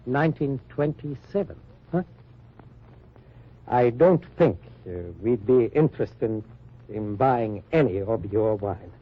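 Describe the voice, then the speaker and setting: An actor playing a suspicious German husband who probes a stranger deliberately and curtly in an old radio drama. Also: male voice, medium pitch, lightly acted. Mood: suspicious, cutting. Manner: deliberate, probing, curt. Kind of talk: 1940s-50s radio drama acting. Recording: dull, noisy old radio recording.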